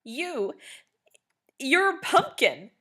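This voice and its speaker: A woman's voice: medium pitch, very animated, moderate pace, voice pushed up. A woman voicing a teasing, amused young boy in a storybook reading. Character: laughing boy friend in an alien costume.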